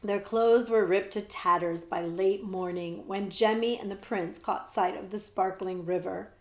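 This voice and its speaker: A woman librarian reading a children's book aloud with expressive storytelling, dropping to whispers at times. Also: medium pitch, unhurried, echoey room. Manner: expressive storytelling, shifts between whispers and loud voices.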